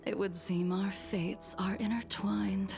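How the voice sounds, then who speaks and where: A woman voicing a serene ancient swordswoman in a video game, calm and breathy, speaking with deliberate care. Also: mid-range voice. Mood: serene, wistful. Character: serene ancient swordswoman, fallen legend turned criminal. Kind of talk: English video game voice acting. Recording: game audio with music behind.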